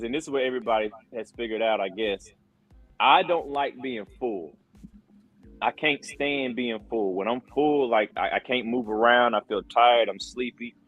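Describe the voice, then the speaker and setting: A man's voice: mid-range voice, narrow, tinny audio. A man in his forties, a podcast guest, chatting casually and telling stories with many fillers and repeated words. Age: 40s. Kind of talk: casual chat.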